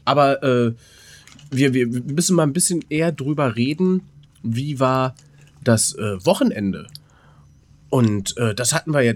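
Man bantering casually as podcast co-host. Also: mid-range voice. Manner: informal, colloquial, joking.

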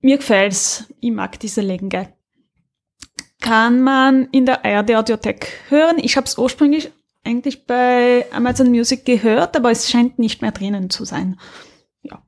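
A woman with a fairly high voice chats casually as a podcast co-host. She explains things in a halting way, pausing to find the next word. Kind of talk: casual chat, recommending an audio drama.

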